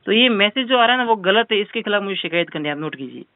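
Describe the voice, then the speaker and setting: A man's voice: high voice, expressive, fast talker. A man making an insistent complaint over the phone, arguing his point again and again. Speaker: caller filing a complaint with a helpline. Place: telephone call. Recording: narrow-band phone call recording.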